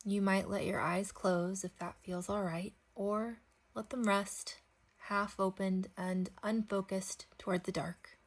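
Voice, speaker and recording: A woman leading a guided sleep meditation, speaking gently in unhurried phrases with long pauses. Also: mid-range voice, level tone.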